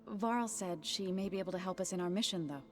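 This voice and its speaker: Actress as gentle, lowish-voiced companion woman. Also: fast talker, natural voice. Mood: caring, curious. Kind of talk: video game character dialogue.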